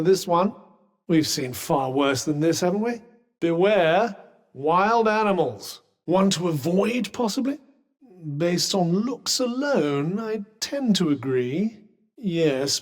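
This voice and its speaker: Snooty british talking magic bracelet for a video game, kinda high voice, dry n sarcastic, big long reverb. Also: male voice. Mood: cautious, wry. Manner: dry, sardonic, wordy and formal. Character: witty, haughty sentient magical cuff companion.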